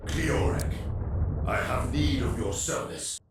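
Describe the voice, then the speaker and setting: A man's voice: mid-range voice, slow, vocal fry, background noise. A man voicing an imperious, ancient machine pharaoh in a video game, stately, formal and cold. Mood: imperious, grave. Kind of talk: dramatic video game cutscene acting. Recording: heavy cavernous echo, distant, under music.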